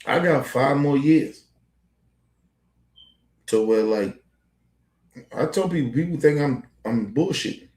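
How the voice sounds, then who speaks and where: A man's voice: mid-range voice, animated, slow, pauses often, darkish tone, reverberant, low-quality recording. Profane rambling man in his forties. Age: 40s. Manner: rambling, repetitive street slang, profane. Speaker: podcast host. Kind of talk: casual monologue to camera.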